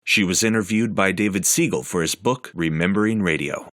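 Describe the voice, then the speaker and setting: Deep-voiced male documentary narrator. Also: fairly bright tone, faint music behind. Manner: measured, formal delivery. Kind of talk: scripted narration.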